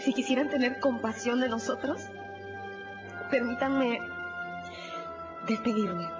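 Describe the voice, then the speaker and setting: An actress playing a distraught, devoted young wife, softly pleading in a radio drama. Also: female voice, fairly high pitch, slow pace. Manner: soft, pleading. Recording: dull radio recording with music.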